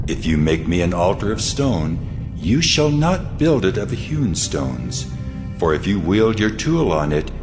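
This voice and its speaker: A very deep, stern divine voice, declaring sacred law with solemn authority. Each phrase is measured and commanding, with prominent music underneath, in the manner of dramatized scripture. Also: male voice. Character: God, stern commanding almighty male deity.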